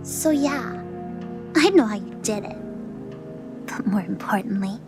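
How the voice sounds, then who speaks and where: A woman's voice: medium pitch, lively intonation, takes it slowly. A woman playing a confident, sly goddess of death in an audio drama, talking in a casual, self-assured, teasing way. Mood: knowing, intense. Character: confident, sly female death goddess who foresees kills. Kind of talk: scripted audio drama acting. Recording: music under the voice. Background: music.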